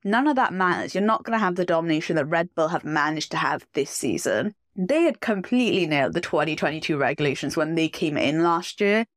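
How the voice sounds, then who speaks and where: A woman hosting a solo sports podcast, enthusiastic and emphatic, her voice slightly rough from a cough and cold. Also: on the low side, no room sound, studio quality. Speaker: podcast host.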